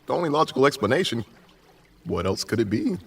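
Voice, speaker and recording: A man role-playing as a player in a tabletop game, speaking in a dry, measured, sometimes hesitant way. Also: mid-range voice, big pitch swings, creaky, breathy edge. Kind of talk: in-character tabletop role-play. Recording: slightly distant mic, faint room noise.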